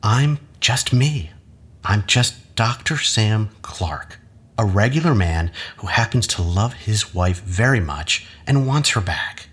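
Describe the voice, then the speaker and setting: An actor playing a manipulative academic husband in an audio drama, measured, coaxing and patronizing. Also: male voice, fairly low pitch, animated, fairly bright tone, slightly rough, full character voice. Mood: condescending, insistent. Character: manipulative husband, an academic doctor, calmly condescending and persuasive.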